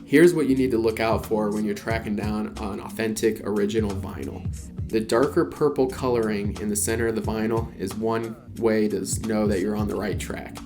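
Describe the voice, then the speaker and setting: A man's voice: lowish voice, steady tone, brisk. A man explaining collectibles in a casual, conversational vlog, with frequent "you know" and "kinda". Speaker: collector vlogger. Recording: clear background music under voice.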